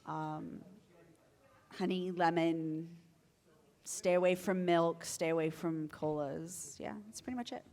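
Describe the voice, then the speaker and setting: A woman's voice: fairly low pitch, slow, darkish tone. A woman, a voice actor, chatting casually on a panel into a microphone in a convention room. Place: indoor convention meeting room. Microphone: handheld or table microphone.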